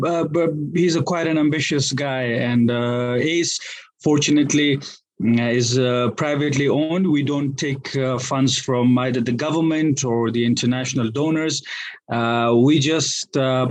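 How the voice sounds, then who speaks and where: A man from Afghanistan, a business manager, speaking in a podcast interview with frequent pauses, fillers and restarts. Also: medium pitch, a little mellow. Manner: hesitant, many fillers and restarts.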